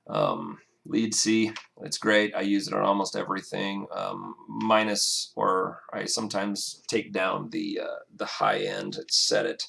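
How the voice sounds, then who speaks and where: A man with a raspy voice casually walking through his song mix, talking in halting bursts with lots of fillers and restarts. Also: low voice, big pitch swings, fairly bright tone. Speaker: music producer. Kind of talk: casual screen-recorded mixing walkthrough.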